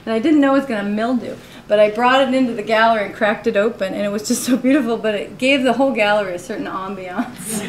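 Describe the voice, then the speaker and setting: A woman's voice: a little high, brisk. A woman in her forties talks through her own artworks at a college gallery, candid and laughing often, her speech full of "you know." The live recording sounds roomy. There is a faint noise floor under it. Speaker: artist giving a gallery talk.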